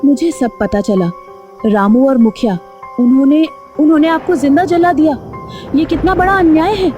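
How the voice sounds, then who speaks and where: Woman playing high, soft-toned earnest village mother. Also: moderate pace, natural voice. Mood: indignant. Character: kind-hearted, determined village mother of a sick child. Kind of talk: Hindi cartoon story voice acting. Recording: faint music behind the voice.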